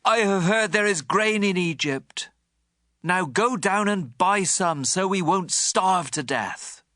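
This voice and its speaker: A man's voice: high voice, lively intonation, slow pace, bright, forceful tone, no echo, clean studio sound, exaggerated voice. A man playing a troubled, reproachful man of ancient Canaan in an audio Bible drama, speaking with urgency. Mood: reproachful, urging. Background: music.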